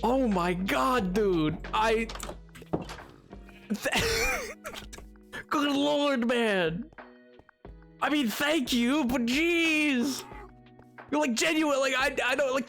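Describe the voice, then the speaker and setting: Excitable rambling streamer guy, slow talker. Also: extremely high pitch, soft background music. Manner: excitable, rambling, many restarts and yelps. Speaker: game streamer. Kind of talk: casual live-stream chat.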